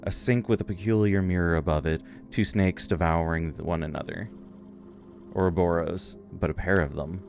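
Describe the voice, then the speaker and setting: A man's voice: low-pitched, steady tone, normal speed, vocal fry, a little mellow, slight background noise. A man reading a video game's story text aloud and commenting as he plays, with game music behind him. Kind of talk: let's play narration, reading dialogue aloud.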